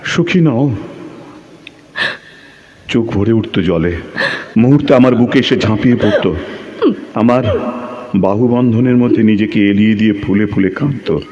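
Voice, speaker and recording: A man playing a lovestruck, brooding narrator of a secret romance in an audio drama, with poetic phrasing and pauses. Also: lowish voice, mellow, lo-fi sound, close to an everyday voice. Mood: tender.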